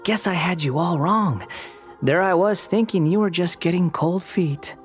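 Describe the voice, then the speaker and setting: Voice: mid-range voice, unhurried, faint music behind, lightly acted. An actor voicing an arrogant, sardonic young male villain in a video game, haughty and taunting. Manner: sneering, haughty.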